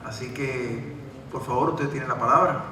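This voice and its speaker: A man's voice: medium pitch, a little slow. A man moderating a question-and-answer session at a literary gathering, joking informally with the audience. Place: large event hall. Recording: echoey hall from far off, background noise.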